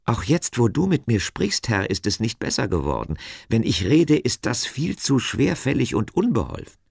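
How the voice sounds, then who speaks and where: A man's voice: mid-range voice, wildly swooping pitch, normal speed, vocal fry, breathy edge, no echo, pitched above the performer's own voice, exaggerated voice. A nervous, reluctant prophet in a Bible tale, acted out by a male narrator. He pleads and questions hesitantly, with the voice lifted and swinging expressively, recorded dry. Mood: pleading, reluctant. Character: Moses, anxious, reluctant, pleading grown man.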